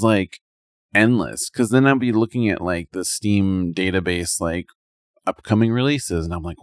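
A man's voice: low-pitched, dry recording, studio quality. A man in a casual, rambling chat about video games as a podcast co-host, speaking colloquially with lots of "like".

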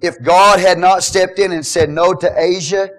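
Middle-aged man, pastor mid-sermon; fairly high voice, playful asides, builds to loud exhortation. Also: steady tone, moderate pace. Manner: jokey, swings from quiet asides to loud exhortation.

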